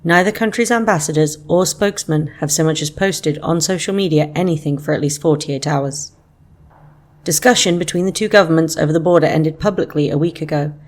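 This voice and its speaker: A woman's voice: low voice, darkish tone. A woman playing a serious radio foreign correspondent, reading a factual scripted news report.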